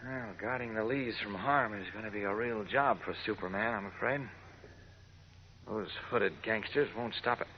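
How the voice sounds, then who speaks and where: A man's voice: fairly low pitch, moderate pace, slight background noise, natural voice. A man acting in a 1940s radio drama as an earnest, resolute, persuasive man, on a muffled old recording. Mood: quiet, concerned. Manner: persuasive, emphatic. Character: earnest, resolute man urging a frightened family to stand firm.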